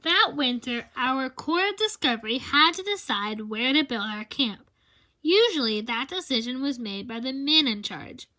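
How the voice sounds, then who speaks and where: A woman reading a children's picture book aloud in an expressive storytelling style, drawing out words for emphasis. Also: sits high, normal speed, poor audio.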